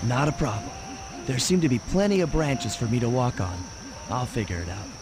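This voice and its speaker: A man voicing a cocky, smooth young raccoon master thief in a video game, confident and breezy. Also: medium pitch, very animated, fairly quick, natural voice. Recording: game voice over music.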